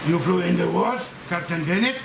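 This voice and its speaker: A man's voice: sits high, animated, normal speed, heavily acted. A man reading the part of a polite, curious doctor in a movie script table read. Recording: echoey room, clear noise.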